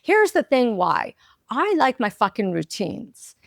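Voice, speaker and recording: A raspy woman in her fifties talks frankly in a casual bedside chat, swearing freely and laughing at herself. Her voice sits a little high with a gravelly fry, and the recording is dry and close. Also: very animated, a little slow, dry recording. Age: 50s. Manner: blunt, laughing, self-teasing. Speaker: podcast co-host. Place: in bed at home.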